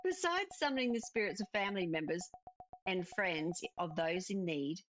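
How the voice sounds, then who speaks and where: A woman's voice: fairly low pitch, animated, moderate pace, a little hiss or hum, natural voice. A woman voicing a kindly spirit witch mentor in a cozy visual novel, patiently explaining and instructing. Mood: instructive. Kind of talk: cozy visual novel dialogue read aloud.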